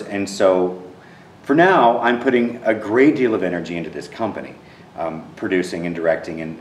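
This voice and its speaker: A man in his thirties with a very deep voice answers interview questions with earnest warmth, often restarting and repeating words as he thinks. He sounds set back from the microphone. An empty theater adds a roomy echo and a faint hum of ambience. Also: far from the mic. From Texas. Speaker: theater director.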